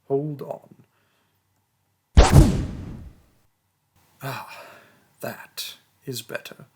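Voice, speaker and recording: A man playing a vain, haughty mage king in a theatrical audio roleplay, speaking with condescending pomp and a breathy voice. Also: medium pitch. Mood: indignant, imperious. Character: pompous, vain mage king, haughty toward his peasant subject. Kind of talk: theatrical roleplay monologue and storytelling.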